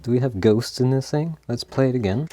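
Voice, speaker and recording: A man casually talking through a tape machine repair, laughing often and pausing with lots of ums. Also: medium pitch, background noise. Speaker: host of an electronics repair channel. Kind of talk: casual hands-on commentary.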